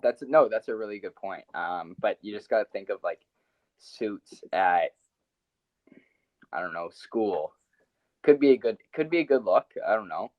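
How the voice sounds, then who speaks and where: A man's voice: fairly low pitch, lively intonation, normal speed, long pauses, no room sound. A young man co-hosting a casual sports podcast, laughing often and hesitating with many fillers. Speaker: podcast co-host. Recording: dull, dry podcast audio.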